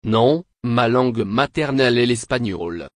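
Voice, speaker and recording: A man's voice: mid-range voice, animated, unhurried, no echo. Dry-voiced man reading lesson answers. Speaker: French dialogue reader. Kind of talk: scripted language-lesson reading.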